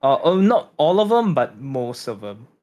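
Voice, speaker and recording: A man's voice: mid-range voice, very animated, normal speed, dark tone. A young man co-hosting a podcast, chatting casually, teasing and laughing easily. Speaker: podcast co-host. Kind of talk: casual chat.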